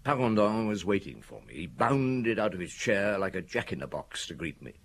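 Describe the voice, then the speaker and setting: An actor playing a shrewd, wryly amused chief inspector in a radio drama, both talking and narrating. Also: male voice, low voice, sing-song, moderate pace, creaky, husky, exaggerated voice. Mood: reflective narrating. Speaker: actor in the lead role. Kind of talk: radio drama dialogue and first-person narration.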